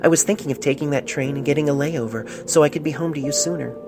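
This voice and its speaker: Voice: mid-range voice, fast talker, noisy background, voice pushed up, close to an everyday voice. An amateur narrator voicing a loving, sentimental pony reading out a love letter earnestly and tenderly, recorded on a phone. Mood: wistful, devoted.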